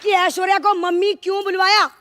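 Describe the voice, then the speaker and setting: A woman playing a loud, dramatic, scolding village wife and mother in live TV sketch comedy. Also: very high-pitched, level tone, quick pace, crowd noise. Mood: scolding, outraged. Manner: theatrical, scolding, exclaiming. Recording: noisy stage with studio audience.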